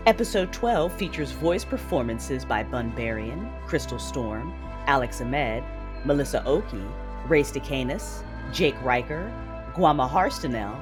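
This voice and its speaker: A woman hosting a podcast, reading a scripted outro with announcements and credits. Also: deep voice. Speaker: podcast host. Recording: clear music bed behind the voice.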